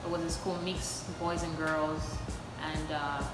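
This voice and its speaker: A young woman from Lagos with a Nigerian accent chats in an easygoing way, pausing on fillers. Her voice is mid-pitched. A long, echoey reverb fills the room, with music behind. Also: flat delivery. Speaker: guest. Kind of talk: casual chat.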